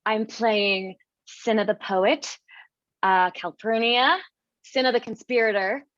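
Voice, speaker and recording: High-voiced woman on a dull, dry call. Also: steady tone, takes it slowly, fairly bright tone, no room sound. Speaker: actor. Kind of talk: casual self-introduction. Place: remote video call.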